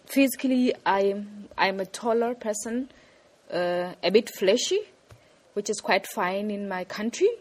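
A woman's voice: mid-range voice, a little slow. Frank woman. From Lesotho. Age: 20s. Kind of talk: self-introduction.

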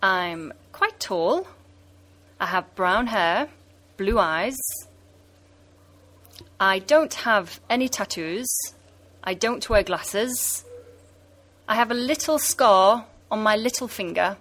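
Woman, slow and plain, mid voice, self intro. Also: mid-range voice, lively intonation, a bit bright. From Liverpool, UK.

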